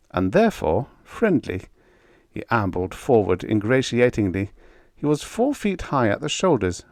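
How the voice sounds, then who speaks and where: A man narrates a story for an audiobook. His voice sits fairly low and moves through a wide, animated range. The sound is dry, free of room echo.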